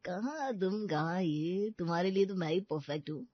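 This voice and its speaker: A woman's voice: fairly low pitch, normal speed, no echo, muffled recording, close to an everyday voice. A woman voicing a smug, boastful young college man in a cartoon story, with a smarmy manner.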